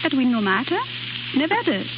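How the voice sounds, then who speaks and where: An actress playing a passionate young French nightclub singer in 1930s radio drama style, with a French accent and theatrical phrasing. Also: female voice, sits high, very animated, a little fast. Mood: wistful. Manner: theatrical, foreign-tinged phrasing. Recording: muffled, noisy 1930s radio broadcast with hum.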